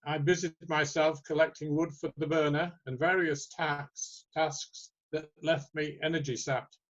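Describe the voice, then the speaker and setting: A man's voice: on the high side, level tone, measured pace, fairly bright tone, dull audio. A man reading his own short story aloud as first-person narration.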